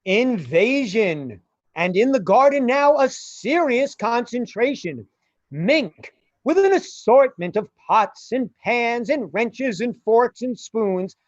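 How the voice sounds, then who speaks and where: A woman giving a theatrical, deliberate scripted narration for a suspense radio drama. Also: fairly high pitch, a little mellow, no echo. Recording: muffled, music behind. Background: music.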